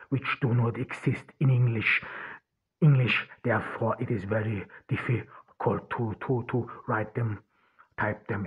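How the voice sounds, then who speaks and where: Austrian man, husky voice, phone-like sound. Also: mid-range voice. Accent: Austrian German. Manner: halting, many restarts and fillers. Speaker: podcast host. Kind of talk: solo podcast monologue.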